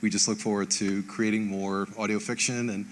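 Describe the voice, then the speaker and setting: Male award winner, lowish pitch, hesitant grateful speech, faint background noise. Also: flat delivery, normal speed, poor audio. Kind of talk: unscripted acceptance speech. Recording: faint noise, room ambience.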